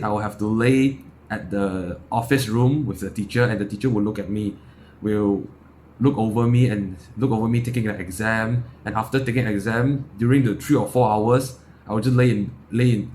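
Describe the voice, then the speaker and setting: A man's voice: lowish voice, a little fast, darkish tone, a little hiss or hum. A young man in his late teens from Malaysia with a Malaysian accent, giving a blunt, sweary, unscripted opinion talk as a vlogger. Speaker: self-improvement vlogger.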